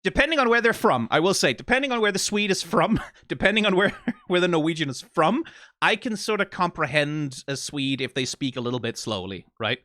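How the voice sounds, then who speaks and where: A man's voice: on the high side, animated, fairly quick, pushed and bright, dry recording, studio quality. A Danish man with a Danish accent hosting a reaction video, rambling casually from tangent to tangent, swearing now and then and often asking "right?". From Denmark.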